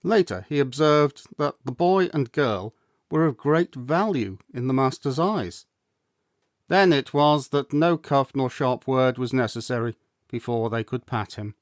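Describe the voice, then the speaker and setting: A man narrating a classic novel as a storyteller, with measured, deliberate phrasing and dramatic pauses. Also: mid-range voice, lively intonation, no room sound, clean studio sound. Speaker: storyteller, audiobook reader.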